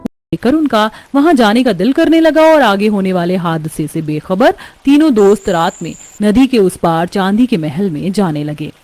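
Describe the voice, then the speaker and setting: Mellow woman narrating a horror story. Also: medium pitch, lively intonation, normal speed.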